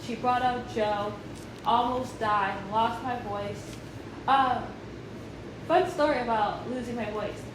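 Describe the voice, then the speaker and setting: Woman in her thirties, slow unhurried talk, rambling and gushing, echoey room. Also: on the high side, noisy background. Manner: rambling, enthusiastic, many fillers and restarts. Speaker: vlogger. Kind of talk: casual vlog chat.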